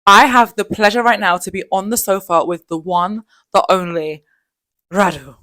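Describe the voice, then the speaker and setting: A woman's voice: mid-range voice, fairly even intonation, moderate pace, fairly bright tone, dry recording. Chatty woman host, dry sound. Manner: chatty and playful. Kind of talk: casual interview chat.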